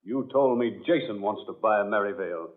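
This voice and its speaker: An actor playing an indignant, protective husband in an emphatic 1940s radio melodrama style. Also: male voice, on the low side, moderate pace, natural voice. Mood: outraged defiance. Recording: muffled, narrow vintage radio recording.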